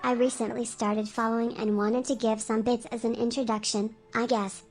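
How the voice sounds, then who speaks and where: A woman's voice: on the high side, level tone, normal speed, darkish tone, soft background music. Synthetic woman's voice reading a message. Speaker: donation message reader. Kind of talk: text-to-speech reading.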